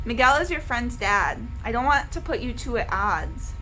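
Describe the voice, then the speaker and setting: A woman's voice: fairly high pitch, big pitch swings, moderate pace, bright, forceful tone, soft background music, rough recording. A woman voicing an exasperated, pleading father in a read-aloud novel. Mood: apologetic. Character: exasperated, pleading dad, adult man.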